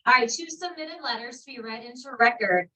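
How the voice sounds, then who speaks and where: A woman on a village council reading residents' letters into the record, in a loud, clear voice at a public meeting. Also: fairly high pitch, a little fast. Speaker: council member. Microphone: distant from the microphone. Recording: speaker far from the mic.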